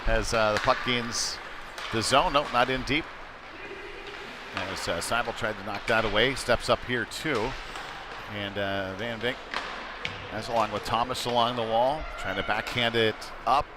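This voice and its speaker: A man with a medium-pitched voice does live hockey play-by-play from a noisy indoor rink. He talks conversationally, following the puck, and is quick to lift into excited calls when the action heats up. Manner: conversational, breaks into excited calls during scoring chances. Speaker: play-by-play sports commentator. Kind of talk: live youth hockey commentary. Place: indoor ice rink during a game. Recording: clearly noisy sports rink behind voice.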